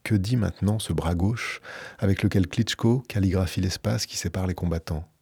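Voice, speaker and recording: Deep, husky man reading dramatically. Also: a little mellow, dry recording. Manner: measured, emphatic dramatic reading. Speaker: radio book columnist. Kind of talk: reading a book aloud, then a book review.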